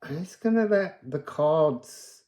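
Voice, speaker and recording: Male card reader, unhurried and soft-edged in tone, improvising mystical thoughts with a digressive, musing delivery. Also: a little high, lively intonation. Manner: musing, digressive, breaks into chanted phrases. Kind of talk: unscripted spiritual reading to viewers.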